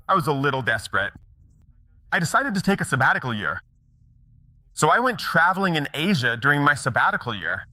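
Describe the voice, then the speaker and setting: A man's voice: on the high side, sharp tone, dry recording. A middle-aged man telling stories in a conversational conference talk, often checking in with the audience. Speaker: mortgage broker giving a conference talk.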